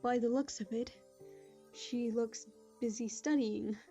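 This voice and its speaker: A woman playing a gentle, concerned pony princess and wise mentor, speaking in a measured, formal, kindly way for an animated audio drama. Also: fairly high pitch. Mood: curious, kindly. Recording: slightly dull audio, faint music.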